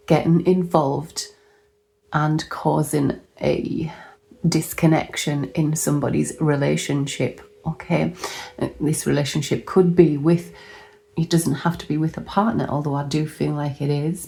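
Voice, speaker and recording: A hoarse woman with a low, mellow voice reads tarot cards in a chatty, unhurried way. She thinks aloud as she turns each card, trailing off and restarting mid-sentence. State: hoarse, recovering lost voice. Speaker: tarot reader. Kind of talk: casual tarot card reading.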